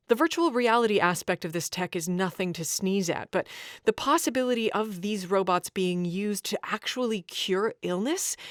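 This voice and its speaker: A woman's voice: medium pitch, no room sound, studio quality, close to an everyday voice. A woman playing a tech show host, presenting scripted tech news in a polished, emphatic way. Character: enthusiastic, skeptical female tech show host.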